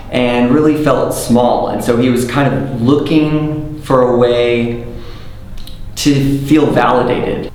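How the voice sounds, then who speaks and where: A man who is a stage actor, speaking thoughtfully and measured about his role. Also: mid-range voice. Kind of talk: behind-the-scenes interview. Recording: very echoey room with faint background noise.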